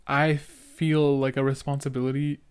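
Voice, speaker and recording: A man's voice: mid-range voice, level tone, clean tone. A young man giving casual, earnest reaction commentary as a podcast host, stumbling and repeating words now and then. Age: young adult. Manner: halting, stuttering, repeats words.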